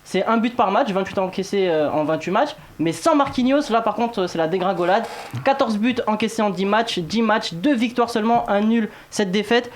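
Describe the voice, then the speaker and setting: A man's voice: high-pitched, moderate pace. A man hosting a football talk show, presenting topics and teasing his panel in an informal way.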